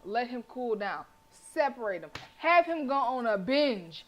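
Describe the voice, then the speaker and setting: A woman's voice: a little high, big pitch swings, measured pace, fairly bright tone. A sassy, colloquial woman reading and reacting aloud as she streams a game. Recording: faint background noise.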